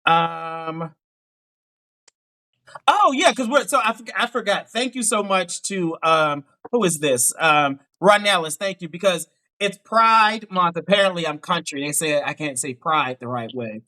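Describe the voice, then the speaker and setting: A man's voice: a little high, lively intonation, bright, forceful tone. A man with a Pittsburgh accent hosting a live dating show, asking upbeat questions and often saying "okay, okay". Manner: upbeat, quick questions with frequent affirmations. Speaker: dating coach host.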